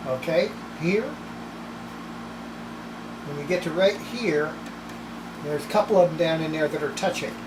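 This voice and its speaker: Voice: mid-range voice, measured pace, long pauses, roomy sound, noisy background. An older person narrating hands-on repair work in a casual, folksy way, often muttering to themselves in a small workshop. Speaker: radio restorer presenting own repair. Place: small cluttered indoor electronics workshop.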